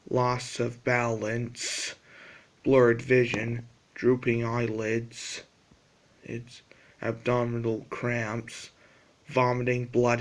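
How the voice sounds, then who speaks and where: A man with a synthetic-sounding voice reading a scripted emergency broadcast announcement in a formal, flat monotone. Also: medium pitch.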